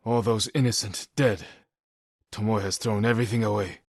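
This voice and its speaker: An actor playing a grave, resolute samurai warrior in a video game, speaking in measured, solemn, terse lines with a husky voice. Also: male voice, fairly low pitch, fairly even intonation, dry recording, studio quality. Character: stoic, honour-bound samurai warrior, adult man, grave and resolute. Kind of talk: dramatic scripted game dialogue.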